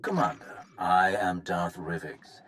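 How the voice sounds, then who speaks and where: Deep-voiced, proud Sith lord. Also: male voice, takes it slowly, fairly bright tone, slight background noise. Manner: formal, self-important. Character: proud, courtly male Sith lord envoy. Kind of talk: video game cutscene acting.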